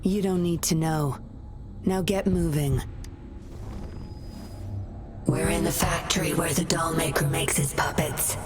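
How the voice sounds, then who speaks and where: A low female voice plays a composed undercover agent in a video game. She gives clipped, controlled orders with a cool edge of threat. Music plays clearly behind her. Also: steady tone, a little slow, long pauses. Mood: cool, threatening.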